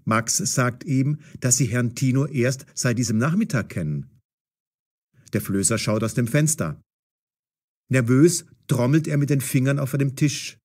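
A man narrating a scripted audio story for language learners in a deliberate, storytelling way. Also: medium pitch, darkish tone.

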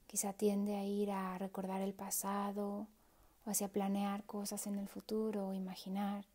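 A woman leading a guided meditation in a soft, hushed, breathy voice with pauses. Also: medium pitch, monotone.

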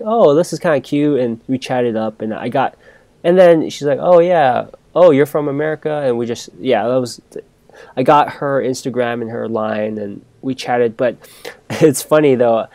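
A man's voice: mid-range voice, a little mellow, dry recording. A young man co-hosting a podcast, telling a long casual story with lots of fillers and restarts. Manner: rambling, many fillers and restarts. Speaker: podcast co-host.

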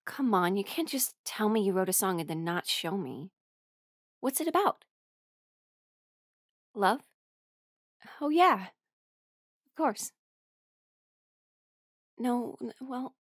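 A woman's voice: fairly high pitch, no room sound, heavily acted. Woman acting playful bandmate, close dry sound. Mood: curious, teasing. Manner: casual, halting, playful. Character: friendly, teasing young woman musician, tired bandmate on tour. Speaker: voice actor in audio roleplay. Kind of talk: intimate conversational roleplay acting.

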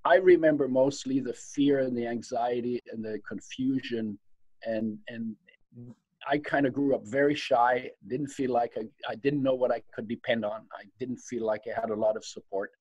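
A man's voice: mid-range voice, steady tone, normal speed, a little mellow, dry recording, rough recording. A man in his seventies, raised in Poland and German-speaking at home, telling his life story as a guest, reflective and laughing between sentences, on a video call from a study. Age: 70s. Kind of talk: personal storytelling. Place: home study lined with bookshelves.

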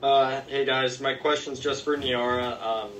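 A man reporter asking a halting question at a press conference. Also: medium pitch, fairly even intonation, fairly bright tone, distant mic, a little hiss or hum, rough recording. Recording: voice from off the mic.

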